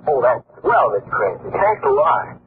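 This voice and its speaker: A man's voice: mid-range voice, sing-song, moderate pace, recorded from a distance, natural voice. Man playing an eager young student, scratchy old radio. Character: eager, naive young male journalism student. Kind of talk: 1940s radio acting. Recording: scratchy muffled 1940s radio recording.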